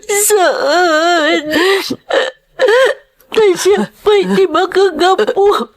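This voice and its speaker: An actress with an Ilocano accent playing a grieving young woman, tearful and halting, in a melodrama. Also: very high voice, heavily acted. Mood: sobbing, pleading. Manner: tearful, slow, halting delivery. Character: grieving young woman Daniela pleading for forgiveness. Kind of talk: melodramatic Ilocano radio-style drama acting.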